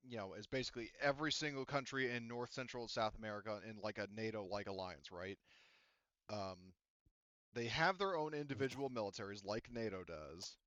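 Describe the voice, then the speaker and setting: A man's voice: mid-range voice, fairly bright tone, smooth, no room sound, dull audio. A man running a tabletop roleplaying game, explaining background lore in a casual, rambling way. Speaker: game master.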